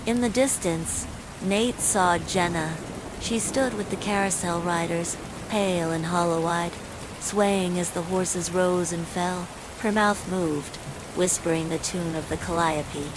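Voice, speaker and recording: A woman's voice: lowish voice, measured pace. A woman reading a scripted horror bedtime story, with falling rain behind her. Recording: steady rain noise throughout.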